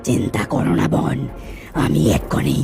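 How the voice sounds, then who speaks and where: A woman voicing a scheming male serpent king in a cartoon, speaking slowly and low. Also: natural voice. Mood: reassuring. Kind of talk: cartoon voice acting. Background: background music.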